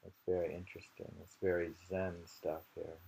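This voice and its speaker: A man's voice: deep voice, level tone, normal speed, gravelly, mellow. A man reading poetry aloud and commenting informally, musing and rambling as he goes, often repeating phrases. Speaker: poetry reader. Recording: noisy amateur home recording.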